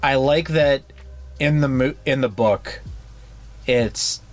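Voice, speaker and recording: A man's voice: medium pitch, takes it slowly. A man co-hosting a horror book podcast, talking casually and bluntly, swearing now and then. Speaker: podcast co-host. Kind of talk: casual chat.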